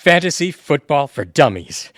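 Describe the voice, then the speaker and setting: An actor playing a good-natured, teasing best buddy in a comic audio drama, wry and amiable. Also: male voice, fairly high pitch, big pitch swings, moderate pace, fairly bright tone, dry recording, clean studio sound. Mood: upbeat.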